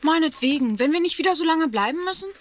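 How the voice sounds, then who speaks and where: A woman's voice: high voice, animated, fast talker, slight background noise. A woman playing a sensible, curious young girl in a children's radio play. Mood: cautious.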